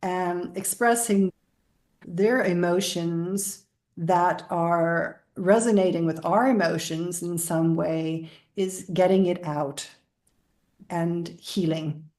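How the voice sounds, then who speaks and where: A woman's voice: on the low side, steady tone, takes it slowly, darkish tone, echoey room. A woman from the Bahamas speaking as a guest in a spiritual interview, explaining her practice.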